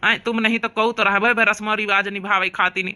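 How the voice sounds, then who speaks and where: A man's voice: high-pitched, level tone, fairly quick, sharp tone. A man voicing an earnest, emphatic young village brother with an Awadhi accent in a cartoon comedy. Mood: reproachful. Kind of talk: cartoon comedy drama dialogue.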